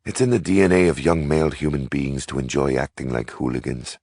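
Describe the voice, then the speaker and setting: A man's voice: a bass voice, brisk, vocal fry, darkish tone, dry recording, clean studio sound, heavily acted. A man reading aloud, voicing an old Irish priest grandfather who lectures in an Irish brogue. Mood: lecturing. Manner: lecturing, earnest.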